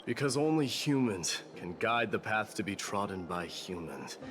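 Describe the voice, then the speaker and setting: A man performs a proud young warrior king raised to godhood, with a low, solemn voice. He declaims in formal, weighty phrases, as in a fantasy game cutscene. Prominent music plays behind him. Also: lively intonation, moderate pace, lightly acted. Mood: calm, resolute. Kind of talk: scripted game cutscene drama. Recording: game dialogue under loud music.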